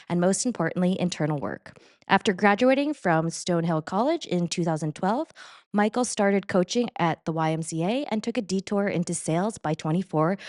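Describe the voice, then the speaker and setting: A middle-aged woman hosting a fitness podcast, moving from a scripted intro into relaxed, upbeat interview questions. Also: on the low side, no room sound, clean studio sound. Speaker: podcast host. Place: New York City.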